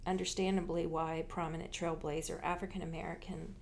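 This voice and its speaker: A woman who works as a library genealogist, walking listeners through a slideshow presentation in an unhurried, informative way with frequent fillers and restarts. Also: deep voice, flat delivery, gravelly, darkish tone, a little hiss or hum.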